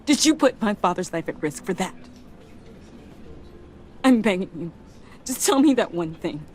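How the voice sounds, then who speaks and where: An actress playing a grieving, determined young woman in a video game, accusing, with a halting, emotional and intense delivery. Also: medium pitch, big pitch swings, a little mellow, close to an everyday voice. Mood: pleading, suspicious.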